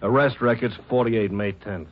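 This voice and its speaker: An actor playing a methodical, obliging police records officer in a 1950s radio drama, speaking in clipped, itemized phrases. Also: male voice, lowish voice, moderate pace, lightly acted. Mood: businesslike. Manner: clipped, reading records aloud item by item. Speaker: supporting actor. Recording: muffled, noisy old radio recording.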